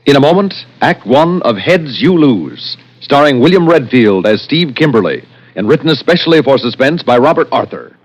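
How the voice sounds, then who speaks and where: An American man announcing a 1960s radio drama in a formal, dramatic, deliberate scripted style. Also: mid-range voice, sing-song, low-quality recording. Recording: vintage band-limited radio with music.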